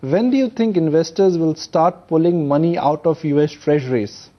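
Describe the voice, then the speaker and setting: Higher-pitched Indian man interviewing on business news. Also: normal speed, lo-fi sound. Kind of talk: live financial news interviewing.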